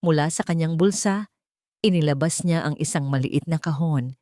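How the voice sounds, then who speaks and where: Female storyteller, low register, dry close sound, measured dramatic phrasing for scripted fiction. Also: dark tone, dry recording. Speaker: narrator.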